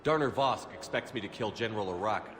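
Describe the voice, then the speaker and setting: A man's voice: lowish voice, lively intonation, quick pace, noisy background, lightly acted. A man acting as a tough, assured military commander in a video game, with a blunt, dry delivery. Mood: stern, blunt. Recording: music under the dialogue.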